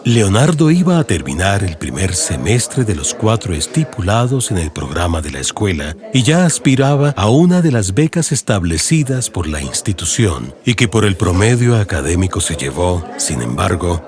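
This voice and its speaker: A man with a Colombian accent narrating a literary radio drama audiobook in a measured storytelling delivery, with music behind him. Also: sits low. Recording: music bed under narration.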